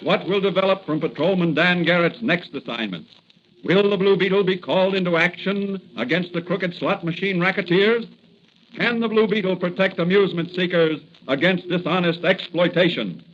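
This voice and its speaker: A man's voice: high voice, moderate pace, highs cut off, a little hiss or hum. A man announcing a 1940s radio serial in a dramatic, declamatory way, on an old broadcast recording.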